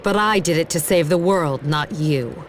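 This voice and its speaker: Casting: actress for resolute game war goddess, cool and stern, on the low side. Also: female voice, moderate pace, a little hiss or hum, close to an everyday voice. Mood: cool, firm. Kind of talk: English video game voice acting.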